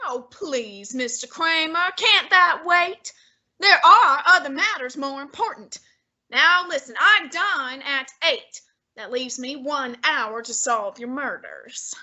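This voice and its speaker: A woman plays a heavyset male detective genius who speaks in an unhurried, commanding way, talking down to everyone in the room. The voice sits high and bright for the part. Each word is placed with lordly patience. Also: expressive, exaggerated voice. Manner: measured, commanding, condescending. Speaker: student actor. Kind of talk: student radio drama acting.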